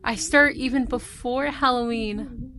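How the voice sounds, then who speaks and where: A woman acts out a cheerful female Christmas elf living undercover as a roommate. She speaks very slowly in a slightly high voice, chatty and a little hesitant, in an intimate ASMR roleplay style. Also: fairly even intonation, background noise. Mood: cozy, cheerful. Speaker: roleplay voice actress.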